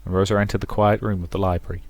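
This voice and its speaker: A man's voice: sits low, little pitch movement, rapid-fire, faint music behind. A man doing a let's play, reading a visual novel aloud and dropping in casual, chatty asides.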